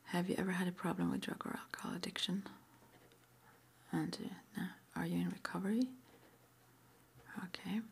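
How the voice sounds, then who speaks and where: A woman speaks in a breathy, gentle whisper, slightly low in pitch, with a creaky edge. She plays an interviewer in an ASMR roleplay, putting questions hesitantly with soft pauses. A faint noise sits behind her voice. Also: fairly even intonation.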